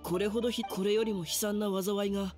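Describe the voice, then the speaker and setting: An actor voicing a calm, bookish young swordsman in a game dub, explaining in a measured, thoughtful way. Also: high voice, lightly acted. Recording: game audio with music behind.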